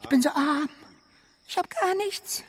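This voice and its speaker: A woman playing a poor, hungry young girl in a radio drama, timid, breathy and pleading. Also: high voice, a little slow. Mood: desperate pleading.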